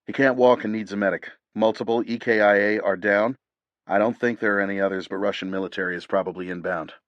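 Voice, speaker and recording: Man voicing a measured, steady operative, deep and dry. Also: no echo, muffled recording, close to an everyday voice. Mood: urgent. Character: calm, focused male military operative.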